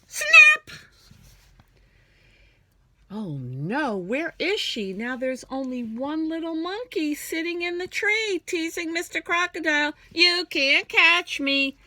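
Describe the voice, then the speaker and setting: A woman reading a picture book aloud to young children in a theatrical, singsong manner, dropping into hushed whispers. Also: very high voice, very animated, slow pace, no echo.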